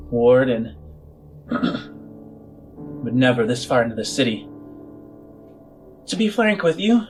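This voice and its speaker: A man's voice: medium pitch, close to an everyday voice. Man playing a weary, blunt female bar owner. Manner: blunt, world-weary, sighing.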